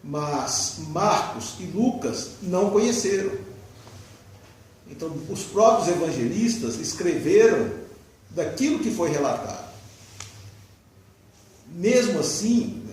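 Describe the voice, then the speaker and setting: A man's voice: high-pitched, darkish tone, a little hiss or hum. A man with a Brazilian Portuguese accent giving a spiritist religious lecture, explaining patiently and posing rhetorical questions. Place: lecture hall. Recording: very echoey hall, distant mic.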